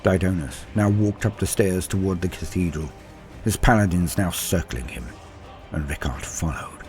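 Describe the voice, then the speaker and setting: A man with a fairly low voice narrates dark fantasy battle fiction over clearly audible music. His delivery is grave and dramatic, rising with emphasis and falling away into quieter phrases. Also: moderate pace. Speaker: storyteller and narrator of a fan lore channel. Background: music under the voice.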